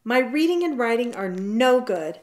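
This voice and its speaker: A woman's voice: a little high, animated, moderate pace, a little mellow, pitched above the performer's own voice, lightly acted. A woman voicing a sensitive, discouraged little kindergarten girl cat in a storybook, speaking plaintively. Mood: upset.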